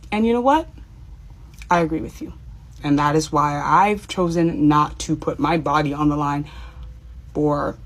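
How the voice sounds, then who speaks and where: A woman from Miami with a Cuban family, speaking earnestly to camera as a social media commentator and pleading with her audience. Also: low-pitched. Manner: earnest, pleading, with small nervous laughs. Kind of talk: direct-to-camera personal commentary.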